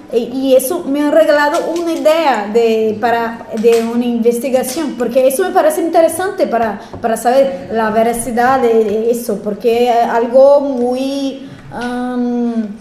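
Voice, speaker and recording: A woman's voice: high voice, mellow, a little hiss or hum. A woman in the audience making a comment, hesitant and searching for her words. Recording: echoey room with faint ambience.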